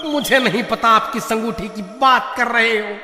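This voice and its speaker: A man voicing an excitable, whining village man in a children's cartoon story. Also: extremely high pitch, normal speed, full character voice. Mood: frightened pleading. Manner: whining, exclaiming, pleading. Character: frightened, pleading village man, quick to snap at his wife. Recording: echoey, reverberant, faint noise.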